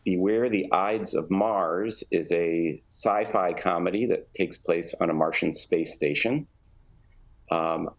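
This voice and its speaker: Man, very low voice, heavy vocal fry, measured conversational explanations, interview guest. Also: poor audio. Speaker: interview guest, theatre artistic director.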